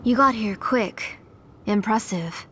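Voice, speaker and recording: An actress playing a grieving, obsessed middle-aged mother turned villain in a video game, brooding, measured and fervent. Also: mid-range voice, pushed and bright, dull audio, a little hiss or hum. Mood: cold, confident. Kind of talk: dramatic video game voice acting.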